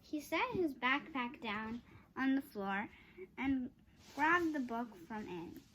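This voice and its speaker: Woman reading a kids book out loud, slow and stumbly, bit of noise behind. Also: sits high, expressive, dull audio. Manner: halting, stumbles over long words. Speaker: storybook reader. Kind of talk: read-aloud of a children's chapter book.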